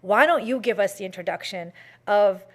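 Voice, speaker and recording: A woman's voice: mid-range voice, normal speed. A woman hosting a talk show, telling a story in a chatty way with many fillers and restarts.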